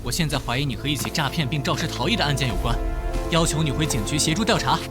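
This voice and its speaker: A man's voice: a little high, fast talker, loud music behind the voice, close to an everyday voice. A man playing an earnest, hot-tempered young police detective in a comic drama dub. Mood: indignant. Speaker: character voice in a dubbed comic drama.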